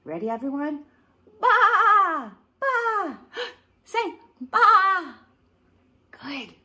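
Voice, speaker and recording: High, very animated woman reading to children. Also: drawn-out words. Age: middle-aged. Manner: animated, exaggerated, sing-song child-directed delivery with animal sounds. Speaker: children's read-along teacher. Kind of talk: interactive picture-book reading for young children. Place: living room at home, indoors.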